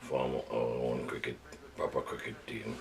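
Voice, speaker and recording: A Jamaican man in his seventies recalling his village childhood in an oral history interview, unhurried and reflective. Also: rumbling low, gravelly, crowd noise. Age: 70s. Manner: unhurried, reflective storytelling with hesitations.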